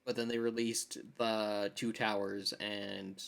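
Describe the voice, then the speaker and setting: A man's voice: fairly low pitch, little pitch movement, takes it slowly, creaky, a bit bright, clear, resonant tone. A man co-hosting a podcast, talking casually and emphatically with slangy words like "dude" and "like". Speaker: podcast co-host. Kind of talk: casual chat about games and films.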